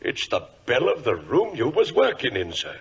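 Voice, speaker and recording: A man's voice: mid-range voice, huge pitch swings, moderate pace. A man playing a deferential, plain-spoken night porter in a 1940s radio drama, with a working-class British accent. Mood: sleepy, puzzled. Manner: deferential, colloquial. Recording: noisy, dull old radio recording.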